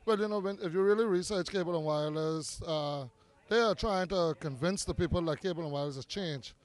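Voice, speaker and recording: A man's voice: on the high side, a little fast. A man from Grand Bahama with a Bahamian accent, answering interview questions as a community activist, earnest and persuasive, repeating words for emphasis, recorded outdoors in a park.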